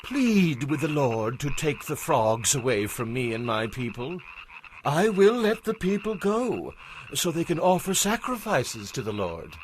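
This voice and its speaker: An actor playing a proud, stubborn Egyptian pharaoh in a dramatized audio Bible, commanding, urgent and theatrical. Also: male voice, fairly high pitch, sing-song, unhurried, a little hiss or hum, poor audio. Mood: pleading. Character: proud Egyptian pharaoh, male ruler, stubborn, grudgingly pleading.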